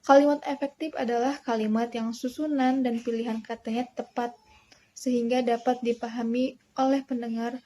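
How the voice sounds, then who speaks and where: The kind of voice heard in a student's class assignment presentation: a young woman, fairly high and very mellow, formally reciting her prepared text phrase by phrase. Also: little pitch movement, normal speed. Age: young adult. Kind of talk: scripted presentation, reading aloud.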